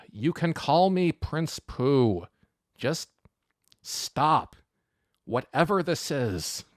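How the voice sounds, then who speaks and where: A man's voice: fairly high pitch, very animated, extremely slow, long pauses, pushed and bright, no echo, clean studio sound, pitched above the performer's own voice, exaggerated voice. A man voicing a scowling, easily exasperated young prince in a storybook, curt and indignant. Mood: exasperated, resigned. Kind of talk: storybook character voice.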